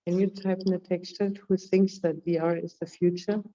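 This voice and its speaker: Croaky woman with a cold, distant. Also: on the low side, level tone, moderate pace, warm tone. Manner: hesitant, repeats her starts. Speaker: workshop participant. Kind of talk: online group discussion. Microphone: computer mic on a video call, camera off. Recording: distant, dull lo-fi call audio.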